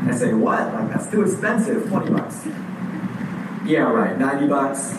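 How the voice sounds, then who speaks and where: A man preaching a sermon in a conversational way, often pausing with fillers and restarting his sentences. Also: medium pitch, very animated. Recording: echoey room heard from a distance with background noise.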